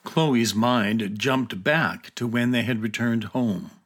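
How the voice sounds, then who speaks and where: A man's voice: mid-range voice, takes it slowly. A man narrating a scripted children's adventure story.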